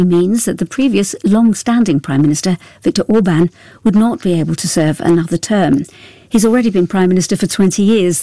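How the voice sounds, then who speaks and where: A woman reading a radio news bulletin in a measured, formal way. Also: medium pitch, mellow, no room sound. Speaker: newsreader.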